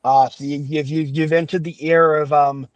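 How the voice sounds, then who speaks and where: A man's voice: a little high, flat delivery, moderate pace, no echo, dull audio. A man hosting a podcast in casual chat, talking in an enthusiastic, rambling, chatty way. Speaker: podcast host and fan-dub maker.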